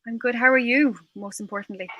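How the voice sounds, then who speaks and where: A woman's voice: a little high, a little fast, sharp tone, dull audio, slight background noise. An Irish woman hosting a livestream event and introducing guests. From Ireland. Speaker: event host.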